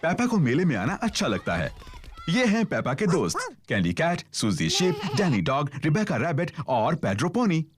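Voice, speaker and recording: Very animated male cartoon narrator. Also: on the high side, normal speed, background noise. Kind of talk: scripted storybook narration for children. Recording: music under the voice.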